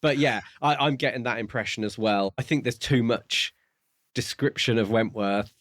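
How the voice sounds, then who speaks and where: A man co-hosting a podcast, talking through books in a casual, measured way as he thinks aloud. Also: medium pitch, a bit bright, no echo. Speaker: podcast co-host. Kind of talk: casual book discussion.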